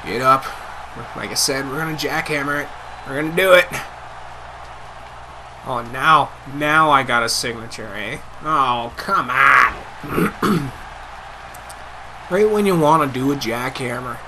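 A young man giving loud, excitable live commentary over a wrestling video game, his voice getting hoarse. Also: mid-range voice, slow, pauses often, background noise. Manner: hyped, shouting play-by-play calls. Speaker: gameplay commentator.

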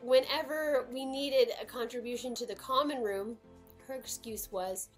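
High-voiced, dramatic young American woman. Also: normal speed, soft background music. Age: 20s. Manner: dramatic, emphatic, gesturing. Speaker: storytime vlogger. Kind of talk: animated personal storytelling to camera. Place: small room at home.

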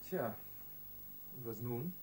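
An actor playing a plain, earnest junior police detective in a radio crime drama. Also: male voice, mid-range voice, lively intonation, slow pace, mellow. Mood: matter-of-fact. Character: matter-of-fact junior male police detective. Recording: distant from mic, faint noise.

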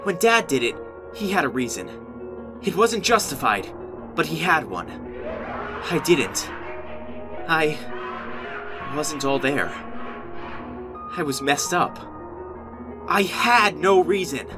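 An anguished young male goat monster played by an amateur actor in a comic dub. The pitch moves widely as he speaks, earnest and guilt-ridden, with music clearly behind him. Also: big pitch swings. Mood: ashamed, confessing. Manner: earnest, emotionally volatile, halting when ashamed.

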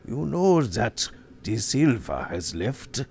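A man voicing a cold, sly older circus owner who threatens with soft, mocking politeness. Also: low-pitched, very animated, slow, vocal fry, a little hiss or hum, voice pushed up, full character voice. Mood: silkily menacing.

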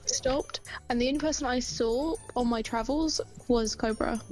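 A woman's voice: a little high, moderate pace, a little mellow, low-quality recording. A woman talking casually with other players during an online game. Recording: music behind voice chat. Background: game music.

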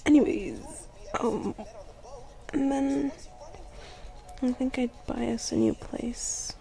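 A young woman doing girlfriend ASMR roleplay in a soft, sleepy voice that often drops to a whisper, murmuring affectionately and drowsily. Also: sits high, takes it slowly, gravelly. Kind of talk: ASMR girlfriend roleplay, casual intimate chat.

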